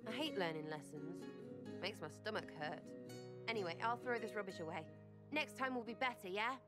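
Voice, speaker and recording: A cheeky young rogue woman, voiced by an actress for a video game. Her talk is clipped, slangy and irreverent, with plucked string music playing clearly behind her. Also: mid-range voice, normal speed, close to an everyday voice. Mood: sheepish, lighter. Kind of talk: scripted video game dialogue.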